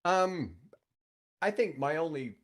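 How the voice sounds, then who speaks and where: A man's voice: on the high side, big pitch swings, takes it slowly. A man in his sixties with an American accent, chatting and telling stories casually as a podcast guest, with an opinionated manner. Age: 60s. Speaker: podcast guest, radio host. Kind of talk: casual chat, rambling storytelling.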